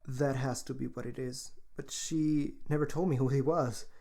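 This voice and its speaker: A man's voice: mid-range voice, steady tone, moderate pace, vocal fry, dark tone. A man playing a guarded, matter-of-fact morgue technician who is grieving a friend, in an audio drama. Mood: subdued, troubled.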